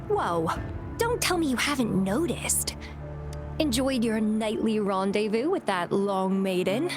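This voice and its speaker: A woman plays a mysterious young woman from a video game with a fairly high voice. She teases and taunts with complete self-assurance, sounding playful and dangerous. Music plays clearly behind her. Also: natural voice. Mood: taunting. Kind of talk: scripted video game acting.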